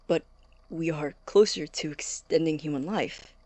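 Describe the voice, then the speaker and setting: A man's voice: on the high side, unhurried, a little mellow, dry recording, close to an everyday voice. Guy acting as a young nerdy student, nervous n polite, stutters a bit, keen, dry recording, audio drama. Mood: earnest, hesitant. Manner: hesitant, stammering, apologetic, polite. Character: eager, nervous young male science student, earnest and deferential.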